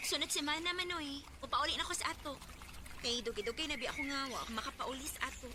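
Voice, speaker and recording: A woman's voice: sits high, quick pace, noisy background, natural voice. A Filipino woman with a Bisaya accent playing an eager, chatty young daughter who sweetly pleads in a radio drama. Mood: cheerful. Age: young adult.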